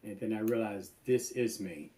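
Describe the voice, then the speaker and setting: A man in his seventies from North Carolina, slowly and haltingly recalling his past in a documentary interview. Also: lowish voice, mellow. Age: 70s. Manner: slow, halting recollection. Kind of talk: reflective personal storytelling. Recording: echoey room.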